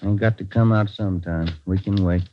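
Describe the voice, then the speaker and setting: An easygoing American police detective played by a man speaks in a dry, weary, laconic way. It is 1940s radio drama acting on a dull recording with clear noise. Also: low-pitched, fairly even intonation, fairly quick, close to an everyday voice. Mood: dry, casual. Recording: muffled, noisy vintage radio recording.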